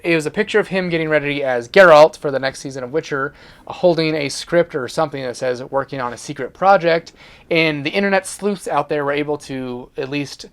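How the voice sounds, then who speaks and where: A man co-hosting a casual entertainment news discussion, speaking informally and a little haltingly with repeated words. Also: fairly high pitch. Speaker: co-host of a video game and movie discussion show.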